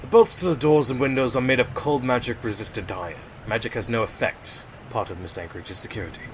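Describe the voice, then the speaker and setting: A man's voice: mid-range voice, fast talker, lightly acted. A man playing a harried, grumbling pony police inspector in an audio drama, exasperated but matter-of-fact. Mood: reporting. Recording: noisy, with heavy rain behind.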